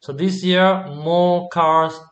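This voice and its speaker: A man's voice: high-pitched, fairly even intonation, slow, fairly bright tone, dull audio. Spanish man tutoring in a roomy echo. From Spain. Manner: explains patiently, gives examples, checks understanding. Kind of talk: online tutoring session.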